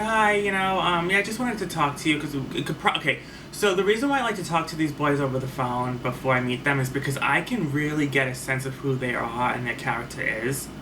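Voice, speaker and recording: A man's voice: a little high, a little fast. Chatty man rambling on a storytime vlog. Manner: rambling, many fillers and restarts. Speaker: vlogger telling a story. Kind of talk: casual storytime monologue. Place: at home. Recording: faint far-off mic with noticeable background noise.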